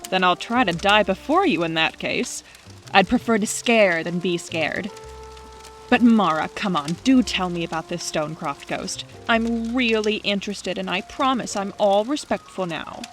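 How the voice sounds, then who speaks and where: A woman acting in an audio drama as a teasing, stubborn young skeptic with a brisk, argumentative manner. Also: medium pitch, faint music behind, close to an everyday voice. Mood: wry, coaxing.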